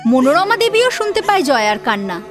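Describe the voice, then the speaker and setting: High-pitched woman narrating a drama. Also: very animated, fairly quick, over music. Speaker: narrator and voice actor. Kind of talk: dramatic scripted storytelling.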